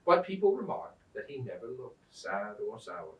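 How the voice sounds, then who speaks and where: A man reads a storybook aloud with theatrical, deliberate phrasing. His medium-pitched voice rises and falls in a lively way. It is recorded at a distance from the microphone in an echoing room. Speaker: Santa storyteller reading aloud. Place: indoors at a museum. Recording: roomy echo, distant microphone.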